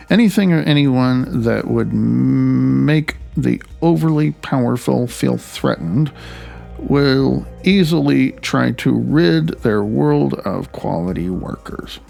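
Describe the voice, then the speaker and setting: A middle-aged man reading his own nonfiction audiobook in a deliberate, measured manner with drawn-out emphasis. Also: medium pitch, gravelly, a little mellow.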